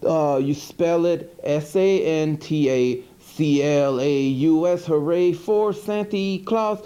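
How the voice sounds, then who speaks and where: A man's voice: a little high, warm tone. A man playing a grumpy, dim monster who hosts a TV horror-movie show, rambling and complaining in exasperated disbelief. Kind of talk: rambling comedic hosted segment. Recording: 1980s VHS TV recording with music.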